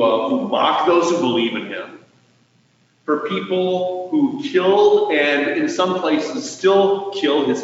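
An American man preaching a sermon as a pastor, speaking deliberately with rhetorical questions and pauses for emphasis. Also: sits high. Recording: echoey hall, distant mic, muffled sound.

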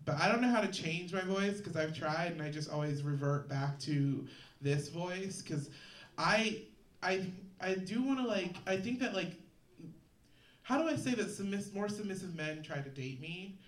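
A woman with a deep voice performing a live stand-up set on stage, rambling with halting restarts and a dry delivery. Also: expressive. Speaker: stand-up comedian. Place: comedy stage in a room. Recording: echoey room from far off, noisy.

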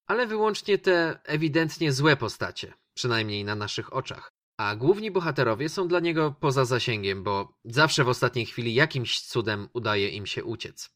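A man's voice: mid-range voice, bright, forceful tone. A man hosting a radio programme, delivering a scripted film review. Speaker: radio show host presenting films.

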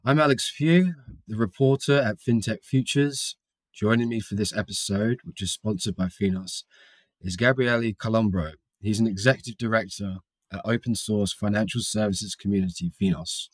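A man's voice: lowish voice, moderate pace, no echo. A man hosting a podcast, reading polished introductions and putting questions to his guest. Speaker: podcast host, reporter.

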